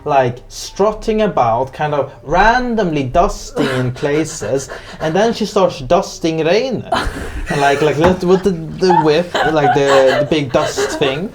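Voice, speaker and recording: A game master improvising narration for a tabletop roleplay in a halting, hesitant way. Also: mid-range voice, animated, crowd noise.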